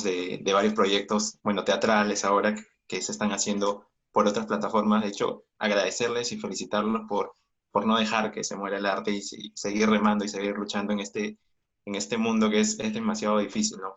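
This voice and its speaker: A man's voice: fairly low pitch, flat delivery, brisk, fairly bright tone. A man hosting a casual interview, hesitant and often repeating his words. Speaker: interview host. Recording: dull, dry online call audio.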